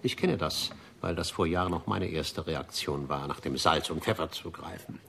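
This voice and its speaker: A fastidious gentleman gourmet with a fairly low voice. He speaks formally and grandly, lecturing and rhapsodizing like a true devotee. It is a radio drama with faint noise behind him. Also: gravelly. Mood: solemn, admonishing. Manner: pompous, lecturing, formal, rhapsodic about food. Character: pompous, fastidious gentleman gourmet, obsessively devoted to one restaurant. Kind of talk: German radio drama acting.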